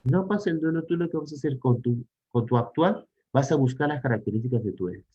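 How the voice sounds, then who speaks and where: Brief: Peruvian male psychologist, mid-pitched, didactic lecturer asking rhetorical questions, slightly muffled audio. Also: lively intonation, a little fast, breathy edge. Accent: Peruvian Spanish. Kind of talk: online conference talk.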